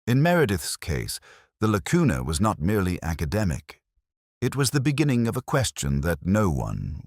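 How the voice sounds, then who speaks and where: A man narrating a scripted first-person detective story in a solemn, literary, measured manner. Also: low voice, no echo, studio quality. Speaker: audio drama narrator.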